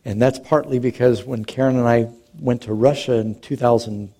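Mellow-voiced man preaching a sermon. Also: mid-range voice, steady tone, moderate pace. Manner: conversational storytelling with humor. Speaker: pastor.

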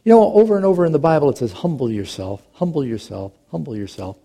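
A man's voice: fairly high pitch, animated, quick pace, creaky, dark tone. A middle-aged man, a pastor, preaching a sermon in a conversational, storytelling way with touches of humor.

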